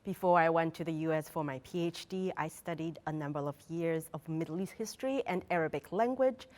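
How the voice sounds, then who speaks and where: A woman's voice: sits low, brisk, a little mellow. A woman in her thirties from China, Mandarin native, with a Chinese accent, answering interview questions as a research fellow in a thoughtful way with frequent fillers and restarts. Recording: long reverb of a hall.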